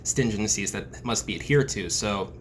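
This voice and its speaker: A man's voice: fairly low pitch, normal speed. A man hosting an interview, asking earnest questions and leaning on the word "just". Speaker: host. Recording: faint background noise.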